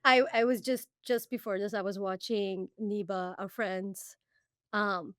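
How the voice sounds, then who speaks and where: A woman's voice: mid-range voice, fairly even intonation, measured pace, no room sound, studio quality. A young adult woman from Iran, co-hosting a podcast in casual chat, talking candidly with many fillers and restarts. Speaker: podcast co-host.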